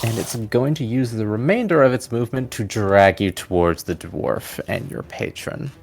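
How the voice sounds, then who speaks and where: A man's voice: on the low side, animated, moderate pace, gravelly, slight background noise. A man narrating a tabletop fantasy game as its game master. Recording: music playing behind. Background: background music.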